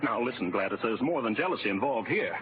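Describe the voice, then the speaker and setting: An actor playing a jealous, scheming political boss and husband in a vintage radio comedy, blustering with exasperated sarcasm. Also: male voice, medium pitch, lively intonation, fast talker, natural voice. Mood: sarcastic, exasperated. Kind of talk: 1940s radio comedy acting. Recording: tinny, noisy vintage radio recording.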